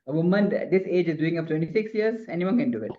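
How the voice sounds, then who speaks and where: A woman's voice: fairly low pitch, lively intonation, quick pace. A middle-aged woman from Singapore, a marketing consultant giving advice as an interview guest, rambling with many restarts. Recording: dull lo-fi sound.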